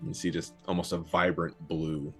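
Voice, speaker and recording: A man narrating a tabletop game as its game master, describing the scene in a plain, measured way. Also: low voice, little pitch movement, fairly bright tone, faint music behind.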